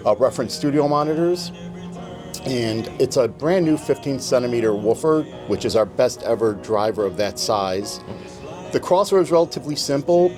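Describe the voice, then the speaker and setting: A man giving a measured, articulate product presentation as a company representative at a trade show. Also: medium pitch. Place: indoor exhibit room at audio show. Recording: music playing in a show room. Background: loud music.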